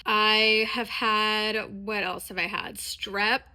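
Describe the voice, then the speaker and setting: A woman's voice: mid-range voice, flat delivery, slow, bright, forceful tone. A woman in her thirties, congested with a sore throat, chatting casually and candidly as in a personal vlog. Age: 30s. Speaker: dance and performance vlogger.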